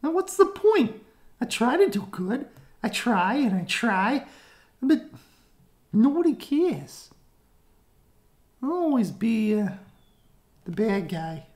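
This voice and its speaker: A reader putting on a very high, whiny voice for a sulky, self-pitying villain in a comic book. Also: very animated, slow pace, pauses often, darkish tone.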